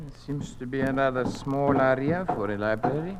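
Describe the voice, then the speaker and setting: A man's voice: mid-range voice, close to an everyday voice. A man playing an earnest, cultured Russian communist museum official who is secretly troubled, speaking formally in a radio drama. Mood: polite. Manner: formal, measured, confiding speech. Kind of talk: mid-century radio drama acting. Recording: noisy old radio drama recording.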